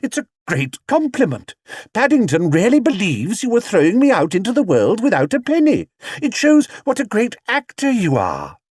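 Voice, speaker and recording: A man's voice: sits high, wildly swooping pitch, normal speed, breathy edge, dry recording, studio quality, voice pushed up, full character voice. A man voicing a gracious, amused actress who speaks fondly in a storybook reading. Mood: affectionate. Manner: warm and affectionate, calls people darling.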